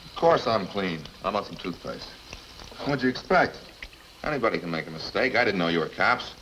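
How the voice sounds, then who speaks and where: An actor with an American accent playing a defensive, slangy small-time murder suspect in a 1950s radio drama, colloquial and grumbling. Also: male voice, on the low side, expressive, brisk, pushed and bright, low-quality recording. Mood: evasive, casual. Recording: old radio recording, faint noise.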